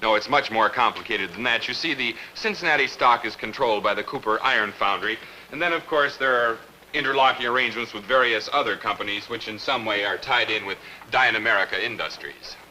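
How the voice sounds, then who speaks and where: An actor playing an office worker who explains things in a matter-of-fact way. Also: male voice, on the low side, fairly quick, pushed and bright, a little hiss or hum, low-quality recording. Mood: explaining.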